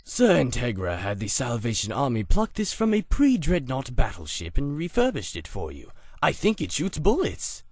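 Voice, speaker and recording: A man plays a composed male butler in an anime parody. He speaks formally and politely with droll, lively intonation, over some noise. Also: mid-range voice, normal speed, few pauses. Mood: proud. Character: courteous, composed male butler serving a household. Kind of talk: anime parody dub acting.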